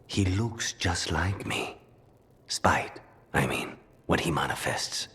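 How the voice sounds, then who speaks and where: An actor playing a brooding, demon-possessed assassin in a video game, grave and measured, his phrases sometimes falling hushed. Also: low-pitched, animated, slightly rough, in a whisper, exaggerated voice. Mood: guarded, resolute. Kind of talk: video game cutscene acting.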